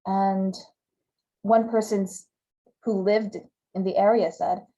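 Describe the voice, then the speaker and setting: A woman's voice: medium pitch, fairly even intonation, slow pace, warm tone, dull audio. A woman from Hawaii giving a conversational talk over slides, with fillers and repeated words. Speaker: guest speaker giving a talk.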